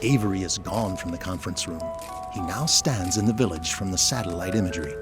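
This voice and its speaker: A man's voice: deep voice, a little fast. A man reading scripted, present-tense narration for an audio drama. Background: music.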